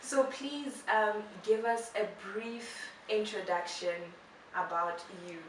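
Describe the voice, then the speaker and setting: A chatty woman hosts a relaxed interview, bubbly and quick to react. Her voice sits back from the mic in a very echoey room. A soft hum of noise stays behind her. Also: mid-range voice. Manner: bubbly, chatty, says like a lot. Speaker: talk show host. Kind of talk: casual interview chat. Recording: echoey room from far off, noisy background.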